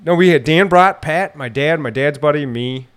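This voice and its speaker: A man's voice: fairly high pitch, moderate pace, clean tone. A man in his thirties hosting an outdoors podcast, sounding nasal from a head cold and telling long, rambling stories. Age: 30s. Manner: chatty storyteller, rambling with restarts. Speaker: podcast host. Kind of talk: casual chat.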